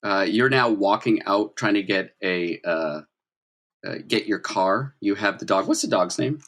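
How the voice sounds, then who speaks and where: A man with a fairly low voice runs a tabletop game. He narrates scenes and talks through the rules conversationally, explaining as he goes. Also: unhurried, fairly bright tone. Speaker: game master. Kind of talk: narrating and refereeing a collaborative tabletop roleplaying game.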